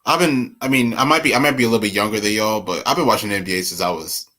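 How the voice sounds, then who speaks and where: A man's voice: lowish voice, rapid-fire, bright, forceful tone. A man in his twenties chatting casually and rambling about basketball, laughing often. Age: 20s. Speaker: podcast co-host. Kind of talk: casual sports chat.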